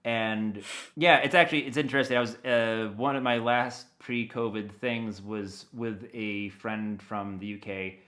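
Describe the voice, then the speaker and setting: An American man giving a casual interview, rambling in an animated way as he thinks aloud. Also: lowish voice, a little slow, hardly any pauses. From United States. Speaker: interview guest, audio dramatist.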